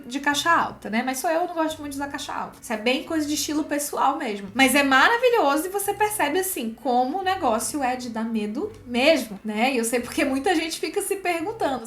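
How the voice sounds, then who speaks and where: High-voiced Brazilian woman teaching screenwriting. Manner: expressive and chatty, frequent check-in tags. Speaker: screenwriting teacher and video host. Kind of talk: casual explanatory commentary with script read-aloud.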